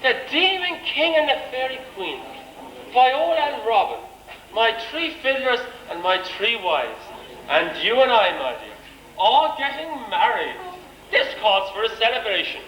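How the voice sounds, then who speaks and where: High, animated man playing an Irish pantomime king. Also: measured pace, heavily acted. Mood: celebratory. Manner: theatrical, teasing. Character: jovial, blustering pantomime king and father. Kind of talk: amateur stage pantomime acting. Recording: echoey village hall stage, background noise.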